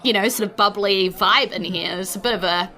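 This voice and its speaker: A woman's voice: mid-range voice, brisk, bright, forceful tone. A woman, narrating a tabletop game as game master in an informal, conversational way with casual asides.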